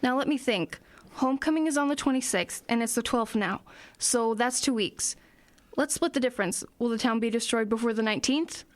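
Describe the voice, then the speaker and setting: A woman's voice: fairly high pitch, a little fast, close to an everyday voice. A woman playing a practical, inquisitive small-town girl in an audio drama. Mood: puzzling it out.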